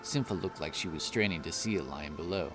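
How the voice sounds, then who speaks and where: A man narrating a scripted fantasy story in a measured, deliberate storytelling manner. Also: sits low, over music.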